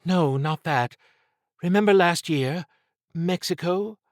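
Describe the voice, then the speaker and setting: A wary, sardonic female agent, played by a male audiobook narrator. The voice is lifted well above his own and presses its points briskly, like a shrewd spy thinking aloud. The sound is close and dry. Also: high voice, dry recording, pitched above the performer's own voice. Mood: wary, insistent. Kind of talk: audiobook character dialogue.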